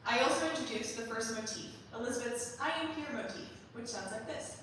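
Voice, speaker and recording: A young adult woman giving a prepared, measured introduction as a student composer presenting her staged reading. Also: on the high side. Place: large performance hall. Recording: very echoey hall, distant mic, faint noise.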